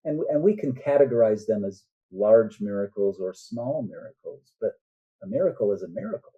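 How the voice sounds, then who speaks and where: An older man speaking earnestly and deliberately as a novelist guest in a reflective podcast interview. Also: lowish voice, animated. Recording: dull, muffled lo-fi sound.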